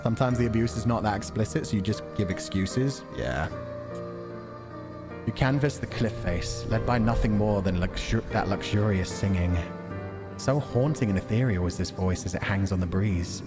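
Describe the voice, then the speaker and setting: A man's voice: fairly low pitch, moderate pace, music in the background. A man hosting a game stream, reading visual novel narration aloud and chatting casually about it. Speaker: livestream host playing a visual novel.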